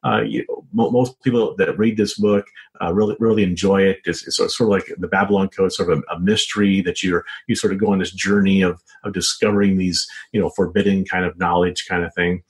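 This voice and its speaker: An American man speaking as an author being interviewed, answering casually and haltingly with lots of hedging filler phrases. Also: low-pitched, fairly even intonation, rough recording. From United States. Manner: halting, frequent hedging with "you know" and "sort of". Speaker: author and journalist, interview guest. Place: home office set up as a small studio.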